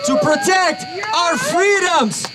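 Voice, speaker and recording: Energetic man with a Russian accent. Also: very high voice, slow. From Uzbekistan. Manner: energetic, builds to shouts. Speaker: rally speaker. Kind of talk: speech at an outdoor rally. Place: outdoor rally. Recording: noisy outdoor crowd recording.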